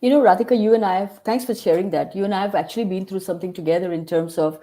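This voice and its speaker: A woman hosting a casual interview, chatty and quick to laugh. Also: medium pitch, mellow. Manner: chatty, laughs often, mixes in Hindi words. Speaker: podcast host.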